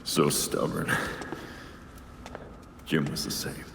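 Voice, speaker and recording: An actor playing a stern, mind-broken male vigilante in a video game, halting and anguished. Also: extremely low pitch, monotone, creaky, echoey room, in a large hall, noisy background, full character voice. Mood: dazed, subdued. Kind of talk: dramatic video game cutscene acting.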